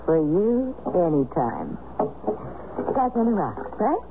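A woman's voice: fairly low pitch, very animated, slow pace, full character voice. An actress playing a bold, knowing single woman who flirts and needles, in 1970s radio drama style. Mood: flirtatious. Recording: muffled, noisy old radio broadcast recording.

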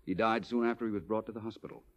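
An actor playing a suave, self-assured detective in 1940s radio drama style, with a smooth, wry, deliberate delivery. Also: male voice, on the low side, steady tone, close to an everyday voice. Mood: calmly explaining. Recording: muffled old 1940s radio recording.

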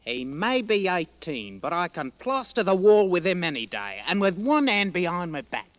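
An eager young Cockney drummer boy, pitched high and full of swoops. He is cocky and keen in the manner of old radio adventure drama. The sound is a dull vintage broadcast. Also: very animated, normal speed. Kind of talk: 1940s-50s radio drama acting.

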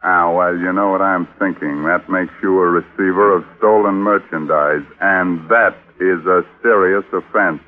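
A man's voice: sits low, steady tone, a little slow, hardly any pauses, narrow, tinny audio, soft background music. A man hosting a 1940s radio mystery show in a theatrical, sly, tongue-in-cheek manner. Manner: theatrical, sly, macabre joking. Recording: thin 1940s radio recording.

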